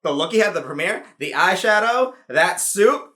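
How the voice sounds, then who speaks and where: A man's voice: high voice, lively intonation, normal speed, pushed and bright. A man around thirty giving a casual, effusive, sassy and comedic review full of slang. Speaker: YouTube movie reviewer.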